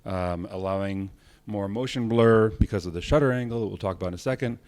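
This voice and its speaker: A man's voice: fairly low pitch, moderate pace, darkish tone, clean tone. A man giving an informal conference talk on technique as a presenter, speaking in short phrases. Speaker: cinematography presenter.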